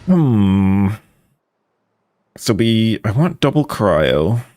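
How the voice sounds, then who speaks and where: A man's voice: lowish voice, very animated, very slow, a little mellow, no room sound, clean studio sound. A man giving casual live game commentary, wry and muttering to himself as he plays. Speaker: live streamer.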